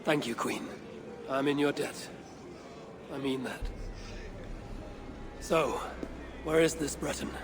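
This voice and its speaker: Norse warrior, game drama: terse, earnest, plainspoken, fairly high for a man, noisy ambient background. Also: slow pace, pauses often. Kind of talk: dramatic video game acting.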